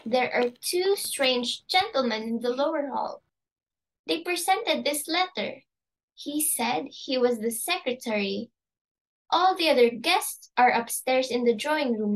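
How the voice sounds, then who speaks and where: A woman's voice: on the high side, poor audio. A woman playing a polite, formal household servant in an amateur dramatic reading, dutifully reporting to the mistress.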